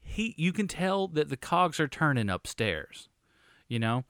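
A man's voice: on the high side, very animated, a little slow, fairly bright tone, dry recording, clean studio sound. A man co-hosting a film podcast, chatty and fond of silly quips. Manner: jokey, throws in silly quips. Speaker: podcast co-host, film reviewer. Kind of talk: casual chat.

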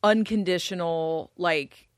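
A woman with a deep voice in casual conversation, thinking aloud with pauses and restarts. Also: clear, resonant tone, no echo, studio quality. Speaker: podcast guest.

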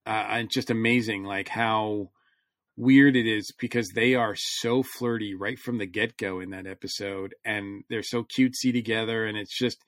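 A man's voice: lowish voice, fairly even intonation, moderate pace, a bit bright, no echo, clean studio sound. A man on a podcast panel talking through a casual review, rambling a little as he thinks aloud.

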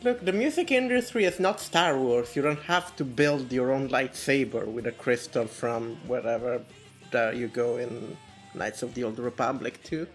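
A woman's voice: extremely low pitch, soft background music. A woman with an Italian accent co-hosting a podcast, teasing and laughing easily. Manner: teasing, quick to laugh, swears casually. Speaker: podcast co-host. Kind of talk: casual podcast banter.

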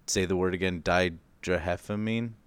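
A man's voice: low voice, steady tone, normal speed, clean tone, dry recording. A man co-hosting a podcast, explaining his research in an informal, loose, self-deprecating way with mild slang. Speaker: podcast co-host presenting research. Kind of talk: casual conversational explaining.

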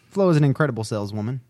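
A man co-hosting a podcast in casual banter, often with mock-serious, deadpan wording. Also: mid-range voice, a little hiss or hum. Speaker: podcast co-host.

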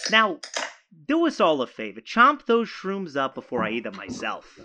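Flustered young boyfriend in audio drama, casual slangy talk, pitch jumps around a lot, keeps repeating himself. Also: male voice, wildly swooping pitch, normal speed, pushed and bright, muffled recording, a little hiss or hum, exaggerated voice. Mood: wounded, brushing off. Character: flailing, eager-to-please boyfriend, easily flustered. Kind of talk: naturalistic found-footage audio drama acting.

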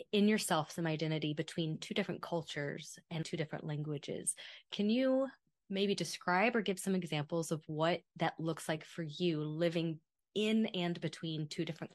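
A woman's voice: low voice, dry recording. Thoughtful woman podcast host. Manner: thoughtful, asks long framing questions. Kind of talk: conversational interview.

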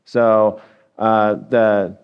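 A man, a physician assistant, answering as a guest on a podcast. He speaks candidly and haltingly, with many restarts. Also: fairly low pitch, level tone, clean tone, highs cut off. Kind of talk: casual interview answers.

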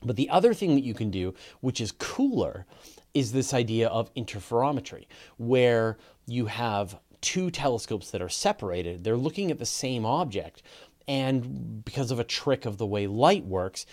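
A Canadian-accented man hosts a live question-and-answer stream. He explains each idea step by step in a relaxed, conversational way, at an ordinary mid pitch for a man. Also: moderate pace. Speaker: host of a space podcast. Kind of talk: livestream Q&A, explaining astronomy to viewers.

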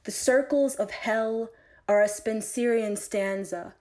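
A woman poet reading her own work aloud in a measured, deliberate recitation, phrase by phrase with pauses. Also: a little high, darkish tone.

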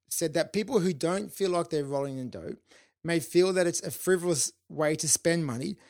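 A man hosting his own self-improvement podcast, talking solo in an upbeat, informal way with the odd filler, his voice a little hoarse. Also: a little high, no echo, clean studio sound. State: slightly hoarse, losing his voice. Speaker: podcast host.

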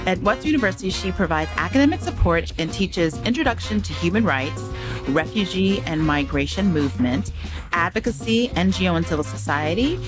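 Woman, podcast host, low-pitched, eager, chatty, music underneath. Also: a little fast. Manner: upbeat, excited, many fillers and restarts. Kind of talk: casual podcast interview. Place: small cafe.

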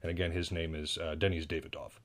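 A man's voice: sits low, flat delivery, fast talker, a bit bright. An American man hosting a solo podcast, talking casually and rambling with self-deprecating asides and frequent restarts. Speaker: podcast host. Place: indoors at home.

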